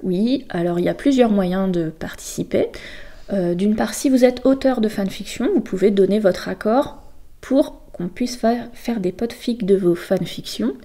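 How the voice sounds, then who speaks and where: A woman guest explaining things casually in an interview, with hesitant, halting phrasing. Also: mid-range voice, mellow. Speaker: guest presenting a volunteer project.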